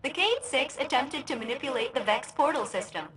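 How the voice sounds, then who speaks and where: High-voiced glitchy female ship AI. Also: brisk, slight background noise. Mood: chirpy, formal. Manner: formal cheer switching to sarcastic deadpan. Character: malfunctioning female ship AI, split between chirpy and sullen. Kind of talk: video game voice acting.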